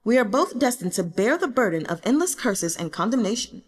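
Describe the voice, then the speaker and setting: A woman's voice: fairly high pitch, very animated, fairly quick, big-room sound. A woman voicing a self-mocking, burdened young emperor in an audiobook, formal and solemn, with regal phrasing. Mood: bitter, solemn. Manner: formal, solemn, speaks in the royal we. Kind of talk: audiobook character dialogue.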